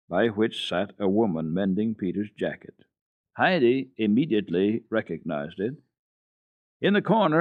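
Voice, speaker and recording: A man with a low voice reads a children's classic with lively, expressive rises and falls. The old radio recording is dry and lo-fi. He gives a dramatic reading, close to the mic. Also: dry recording. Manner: animated, expressive narration.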